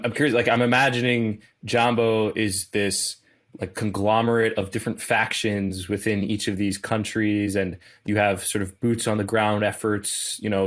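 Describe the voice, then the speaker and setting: A man hosting an interview who affirms answers and asks curious follow-ups, with frequent restarts. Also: fairly low pitch. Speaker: interviewer host. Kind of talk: interview questions.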